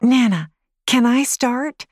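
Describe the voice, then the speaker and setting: A woman voicing an eager, excitable six-year-old girl who pouts and pleads in an audiobook. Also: on the high side, takes it slowly, dry recording, clean studio sound, voice pushed up. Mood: delighted. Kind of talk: audiobook character voice.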